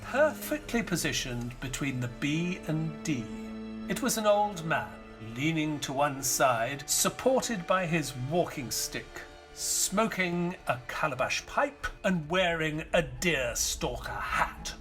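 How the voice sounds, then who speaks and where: An actor playing an elderly retired doctor and author in an audio drama, narrating in a measured, solemn, wistful way. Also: male voice, a little high, expressive, music in the background. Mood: reflective, solemn. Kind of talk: dramatic first-person scripted narration.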